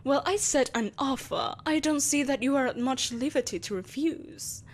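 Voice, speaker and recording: Woman acting a haughty, higher-pitched evil empress. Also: a little hiss or hum, close to an everyday voice. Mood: seductive, possessive. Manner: theatrical, haughty, deliberate formal phrasing. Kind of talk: scripted audio roleplay monologue.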